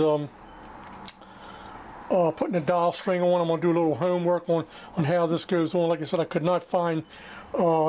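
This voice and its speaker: Higher-voiced man, halting and folksy, noisy recording. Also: darkish tone. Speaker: hobbyist radio restorer hosting a repair video. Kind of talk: casual unscripted repair walkthrough. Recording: clearly noisy amateur recording.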